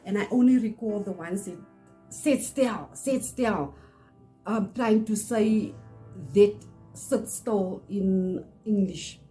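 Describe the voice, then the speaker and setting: A middle-aged woman from South Africa, Afrikaans-speaking, with a South African accent, telling a personal story in earnest, pausing often. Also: mid-range voice, dark tone, soft background music, poor audio. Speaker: channel host. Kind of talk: personal testimony to camera.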